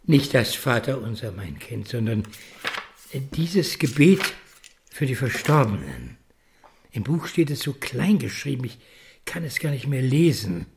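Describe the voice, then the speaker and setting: An actor playing a kindly, grieving old grandfather with failing eyesight in a radio play, speaking gently and haltingly. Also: mid-range voice, very animated, creaky. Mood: mournful. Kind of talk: German radio play acting.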